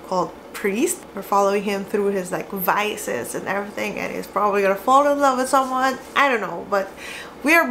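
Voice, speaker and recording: A woman's voice: on the high side, fairly bright tone, a little hiss or hum, poor audio. A young woman from the Philippines with a Filipino accent, chatting casually to camera about books, giggling often. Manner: chatty and giggly, gestures a lot. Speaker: book vlogger. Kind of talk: casual to-camera book chat. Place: small cozy room indoors.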